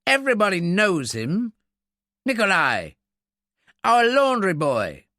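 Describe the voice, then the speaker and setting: A man's voice: high voice, wildly swooping pitch, slow pace, bright, forceful tone, dry recording, studio quality, pitched above the performer's own voice, heavily acted. A man voicing a blustering, indignant military officer in an audiobook, with a pompous, sneering, emphatic manner. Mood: shocked indignation.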